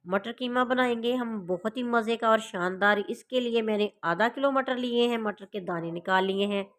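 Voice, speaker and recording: A woman's voice: a little high, normal speed. Woman with a sore throat, teaching. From Pakistan. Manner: instructional, addresses viewers directly. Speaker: home cooking channel host. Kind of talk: casual step-by-step recipe narration.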